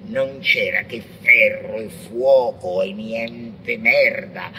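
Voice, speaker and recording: An actor playing a frenzied, blasphemous visionary poet in an avant-garde radio monologue, declaiming theatrically and lurching between whispers and shouts. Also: male voice, lowish voice, takes it slowly, slight background noise, exaggerated voice, low-quality recording. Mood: grim, declaiming. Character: frenzied, blasphemous visionary poet ranting against God and man.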